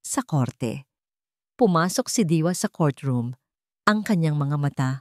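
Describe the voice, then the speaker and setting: A woman narrating a scripted drama story, phrasing it dramatically and deliberately in short pieces. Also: sits low, warm tone, no echo, studio quality.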